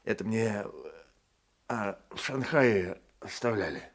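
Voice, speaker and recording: An actor playing a boastful, sly Cossack constable in a radio play, spinning yarns in rustic colloquial speech. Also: male voice, fairly low pitch, slow pace. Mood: boastful storytelling.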